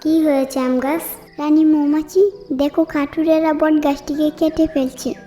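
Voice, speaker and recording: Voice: high voice, level tone, a little slow, warm tone, faint music behind. A performer voicing kindly, polite talking nature characters, a queen bee and a mango tree, in a children's fairy tale cartoon. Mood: urgent, earnest.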